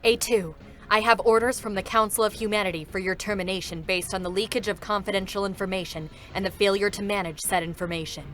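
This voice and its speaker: An actress playing a cold, dutiful executioner android, formal and controlled, in a dramatic reading of a game character. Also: female voice, mid-range voice, fairly even intonation, fast talker, fairly bright tone, natural voice. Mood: cold, commanding. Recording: music behind the voice. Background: music.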